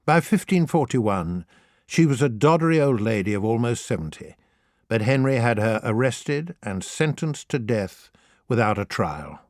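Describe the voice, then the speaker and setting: Expressive man narrating, dry close sound. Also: mid-range voice, moderate pace, no echo. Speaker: audiobook narrator. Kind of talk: scripted narration of humorous children's history.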